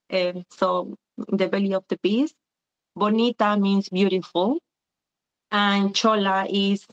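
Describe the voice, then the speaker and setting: A woman's voice: fairly low pitch, smooth. A woman, speaking reflectively as an interview guest with many "eh" fillers. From Argentina. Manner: searching and reflective, with frequent "eh" fillers. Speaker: guest, community organizer. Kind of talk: conversational interview. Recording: dull lo-fi sound.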